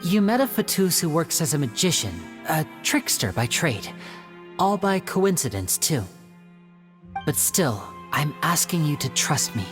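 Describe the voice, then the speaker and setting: A woman playing a polite, earnest young male magician in a video game, with a soft-spoken manner. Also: deep voice, very animated, a little slow, over music. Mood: earnest, pleading.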